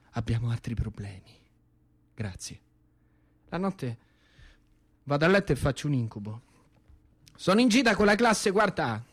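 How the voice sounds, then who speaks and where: A man's voice: high voice, a bit bright. A man with a Roman accent performing a satirical, impassioned theatrical monologue in a colloquial storytelling style, sardonic and given to repetition.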